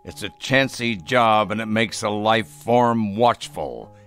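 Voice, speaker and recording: An actor playing an exiled alien king hiding as a man, speaking in a soft, wry, measured way in a radio drama. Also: lowish voice, soft background music. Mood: wistful.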